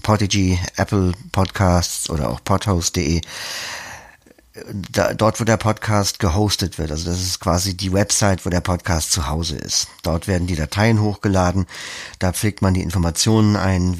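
A man with a fairly low, creaky voice gives conversational interview answers as a podcast producer. He explains step by step with practical examples, recorded close and dry. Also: dry recording.

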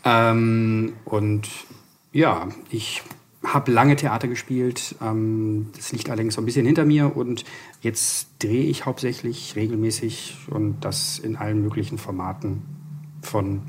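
A man from Hamburg, an actor guest on a podcast, introducing himself in a relaxed, laid-back way. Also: on the low side, measured pace, a little hiss or hum. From Hamburg, Germany. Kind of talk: casual podcast chat.

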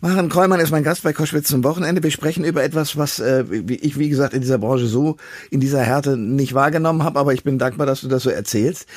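A man's voice: mid-range voice, quick pace, dry recording. Male radio host, dry studio sound. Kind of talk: conversational radio interview.

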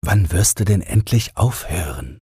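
A man's voice: deep voice, lively intonation, unhurried, mellow, slightly rough, no room sound, clean studio sound, voice pushed up, exaggerated voice. A man voicing a male cage overseer in an audiobook, with an obliging, condescending, questioning manner. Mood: humoring, questioning. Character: male cage overseer, patronizing, humoring, puzzled.

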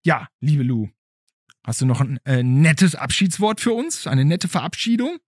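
Playful, animated male podcast host, slightly husky. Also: a little high, measured pace, dry recording, clean studio sound. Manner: playful, hesitant phrasing with rhetorical tag questions. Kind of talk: casual conversational podcast interview.

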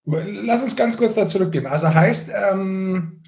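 A man's voice: high-pitched, a little slow, creaky, darkish tone. A man co-hosting a podcast, chatting casually with a teasing, ironic manner. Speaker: podcast co-host. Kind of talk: casual chat.

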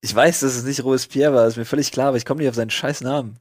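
A man's voice: mid-range voice, steady tone, rapid-fire, darkish tone, dry recording. A young man co-hosting a podcast in casual, crude, slangy and jokey banter. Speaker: podcast co-host.

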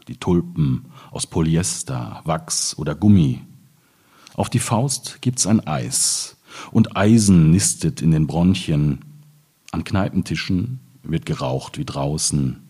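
A man from the Ruhr area in Germany reading his own poems in measured phrases. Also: a bass voice, darkish tone, husky. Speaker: poet reading own work.

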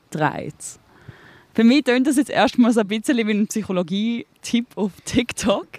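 A woman interviewer asking measured, articulate questions. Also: a little high, darkish tone, no echo.

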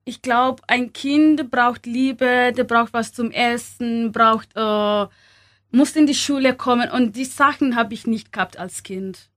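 A young woman from Kenya speaking German as a second language, halting with many fillers and small grammar slips, as an interview guest. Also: fairly high pitch, dry recording, clean studio sound. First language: not German. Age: young adult.

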